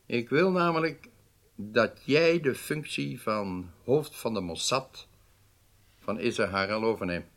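A man's voice: mid-range voice, unhurried, gravelly, fairly bright tone. An actor playing a stern male head of government in a radio drama, speaking formally with weighty emphasis. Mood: grave, commanding.

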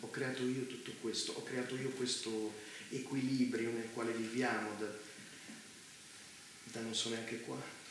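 A man's voice: medium pitch, level tone, a little slow, close to an everyday voice. An actor playing a cynical, megalomaniac man of power in a theatrical dystopian drama, speaking in a pompous, emphatic, grandiloquent way. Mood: cold, self-justifying. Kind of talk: theatrical stage drama dialogue in dystopian play. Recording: very echoey room, faint noise.

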